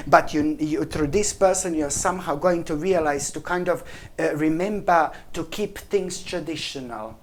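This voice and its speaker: A woman with a fairly low voice reads tarot cards for her viewers. She is effusive and repetitive, and she keeps calling the listener endearing names. A faint hum sits under the recording. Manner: effusive, repetitive, addresses listener as "my gorgeous" and "baby". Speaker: tarot reader. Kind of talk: weekly tarot reading to camera.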